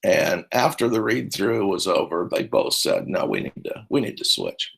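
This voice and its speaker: A man's voice: deep voice, wildly swooping pitch, normal speed, gravelly, slightly rough. A middle-aged man, a speech and debate coach, giving a rambling conference talk full of fillers and restarts.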